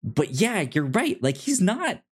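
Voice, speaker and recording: A man's voice: high voice, huge pitch swings, slightly rough. A man co-hosting a podcast, chatting casually and enthusiastically about films, often stumbling and restarting his words. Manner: halting, stammering over words, enthusiastic. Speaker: podcast co-host. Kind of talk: casual film discussion chat.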